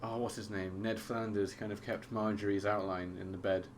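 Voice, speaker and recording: A man's voice: fairly low pitch, little pitch movement, normal speed. A young man, co-hosting a casual podcast chat, swearing freely and calling his friend mate. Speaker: podcast co-host. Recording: faint background noise.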